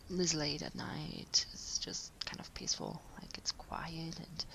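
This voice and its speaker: A woman playing a caring partner who is writing late at night, speaking in a gentle, hesitant, breathy half-whisper for an intimate sleep-aid audio roleplay. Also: on the low side, heavily acted, low-quality recording. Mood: quietly musing. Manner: gentle, hesitant, unhurried murmuring.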